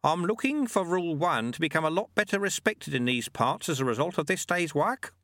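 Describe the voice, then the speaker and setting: A man's voice: a little high, lively intonation, brisk, a bit bright, no room sound, pitched above the performer's own voice. A man voicing a small elderly monk master in a storybook, wry, folksy and unflappable, with a cheeky instructive manner. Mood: quietly commanding.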